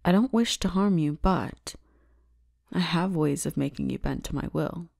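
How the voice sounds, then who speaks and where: A dominant yet caring demon lord's daughter, acted by a woman for an ASMR roleplay. Her voice is low and mellow, with a creaky edge. She speaks softly and takes her time, giving orders and teasing, and can slip into a whisper. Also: no echo, clean studio sound. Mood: stern, commanding. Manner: soft-spoken, unhurried, slipping into breathy whispers. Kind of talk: ASMR roleplay, intimate scripted character acting.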